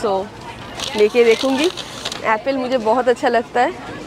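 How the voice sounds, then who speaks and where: A woman's voice: a little high, fairly even intonation, a little slow, noisy background. A woman from India in her thirties chatting to viewers as she shops for vegetables at an outdoor farmers market, filmed on a handheld camera. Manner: chatty, talks to viewers while handling produce. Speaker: vlogger. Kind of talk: casual vlog chat while shopping. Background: market crowd chatter.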